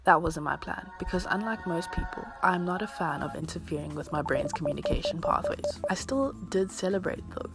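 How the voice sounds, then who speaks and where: An eighteen-year-old university student narrating her vlog in a scripted voiceover with dry, self-mocking humor. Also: on the low side.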